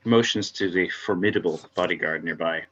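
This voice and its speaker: A man's voice: low-pitched, brisk, fairly bright tone, dull audio, a little hiss or hum. A man narrating screenplay stage directions at an amateur table read.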